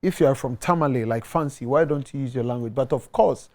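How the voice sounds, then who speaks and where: A man's voice: medium pitch, a little fast, darkish tone. A man in his thirties with a Ghanaian accent, talking earnestly as a guest musician in a TV studio, repeating words and slipping into pidgin. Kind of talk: casual interview talk. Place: tv studio set.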